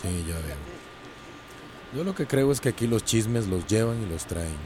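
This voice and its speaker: A man's voice: lowish voice, expressive, measured pace, noisy background. A man playing a wry, firm, plain-spoken villager in a radio soap. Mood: sceptical. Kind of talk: radio soap opera acting.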